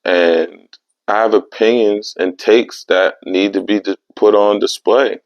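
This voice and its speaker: A young man hosting his own podcast, talking in a casual, animated way with streetwise slang. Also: lowish voice, measured pace, no room sound. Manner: animated, streetwise slang, repeats "you know what I mean". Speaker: podcast host. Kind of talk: casual solo podcast monologue. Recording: dry, slightly dull lo-fi sound.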